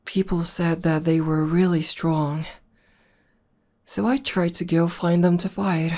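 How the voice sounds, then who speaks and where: A woman acts out a battle-hardened tomboy demoness who is devoted to her partner. Her voice is very low and warm, with a dark, soft edge. She talks slowly and tenderly, with halting pauses, in the close style of an ASMR girlfriend roleplay. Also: fairly even intonation. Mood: casual, reflective. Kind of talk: intimate ASMR roleplay acting.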